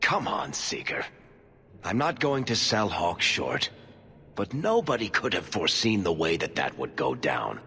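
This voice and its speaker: Sardonic loyal storyteller, raspy, bright forceful tone, emphatic, game dialogue, long hall reverb. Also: male voice, low voice, very animated, measured pace, exaggerated voice. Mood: sardonic, defensive. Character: wry, loyal male storyteller under interrogation. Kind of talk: video game voice acting.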